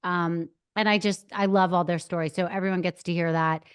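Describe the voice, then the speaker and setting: A woman's voice: on the low side, fairly even intonation, fairly quick, clean tone. A woman hosting a podcast, talking in a chatty, enthusiastic way with frequent fillers. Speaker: podcast host. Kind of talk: podcast interview and intro. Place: studio in Boca.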